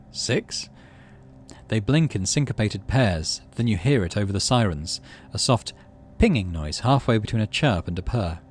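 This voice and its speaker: Low, lively male game narrator. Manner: expressive reading mixed with muttered game comments. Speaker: let's play host. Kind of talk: reading a story game aloud with asides.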